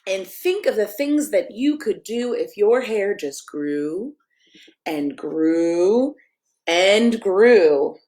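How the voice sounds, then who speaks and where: A woman's voice: mid-range voice, expressive, drawn-out words, darkish tone. A middle-aged American woman, a pastor, reading to children in a playful, sing-song way and chatting directly with them. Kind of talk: children's story time, expressive read-aloud and casual chat.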